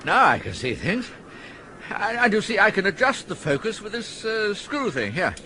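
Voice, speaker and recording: An actor playing a fussy, flustered elderly English earl who blusters and frets. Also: male voice, high voice, sing-song, moderate pace, sharp tone, slight background noise, exaggerated voice. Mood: pottering.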